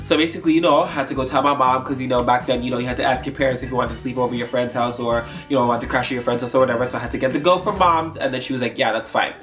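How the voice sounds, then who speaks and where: A man's voice: mid-range voice, fairly even intonation, rapid-fire, few pauses, fairly bright tone, faint music behind. A young man telling a storytime vlog in a rambling, chatty way with lots of fillers, his nose stuffed up. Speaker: YouTuber.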